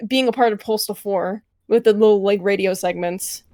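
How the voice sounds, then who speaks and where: A woman's voice: medium pitch, fairly even intonation, normal speed, mellow. A woman speaking casually as a voice actor on an online panel, gushing a little and often hesitating. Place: online panel over voice call.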